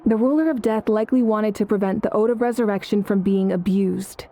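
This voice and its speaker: Woman voicing female game leader, calm, resolute, authoritative, dark warm tone, composed delivery. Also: medium pitch, a little fast, slight background noise, lightly acted. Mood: firm, resolute. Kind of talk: English video game character acting.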